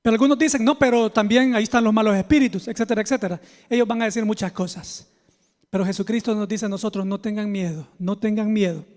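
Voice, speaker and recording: High-voiced Salvadoran man preaching in a reverberant space. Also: fairly even intonation, fast talker, a little mellow. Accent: Salvadoran Spanish. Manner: informal, confiding, addresses listeners directly. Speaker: pastor, preacher. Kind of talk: conversational sermon with personal storytelling. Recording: long hall reverb.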